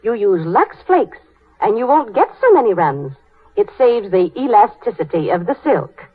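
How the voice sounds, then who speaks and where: A woman's voice: medium pitch, sing-song, normal speed, husky. A woman playing a chatty, advising housewife in a 1930s radio advertising playlet. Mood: helpful. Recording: tinny, band-limited 1930s radio broadcast.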